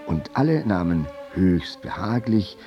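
An actor playing an urbane narrator of a literary radio drama, measured and wry. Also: male voice, low-pitched, very animated, dull audio, faint music behind. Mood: composed, wry.

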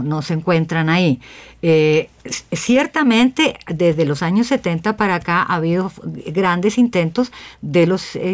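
Radio guest, female, lowish voice, explains reflectively and keeps checking the listener follows. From Chile. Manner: explanatory, punctuated with frequent check-in tags. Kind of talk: reflective discussion in a radio interview.

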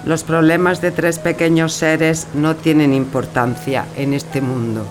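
A resolute woman in a romantic radio play , acted by a woman, composed as she says farewell to her lover. Music plays clearly underneath. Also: low voice, fairly even intonation, a little slow. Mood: resolute, wistful. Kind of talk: radio theatre acting. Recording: music and engine noise behind.

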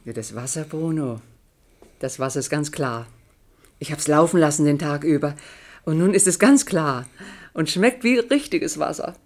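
A woman's voice: low voice, lively intonation, normal speed, a little mellow. An actress playing an anxious, devoted woman in a German radio play, speaking in a worried, plaintive way. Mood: earnest, plaintive. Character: anxious, devoted woman fussing over her man.

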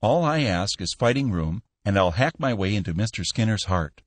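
A man's voice: lowish voice, expressive, normal speed, dry recording. A man reading an audiobook, voicing a cheerful young male war veteran looking for a job, earnest and upbeat.

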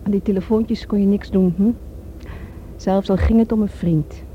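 A woman's voice: mid-range voice, fairly even intonation, normal speed, warm tone, close to an everyday voice. An actress playing a worried, reproachful woman in a radio drama, insistent in manner, on a dull, noisy old recording. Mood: indignant. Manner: indignant, insistent. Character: reproachful, worried woman defending a troubled man.

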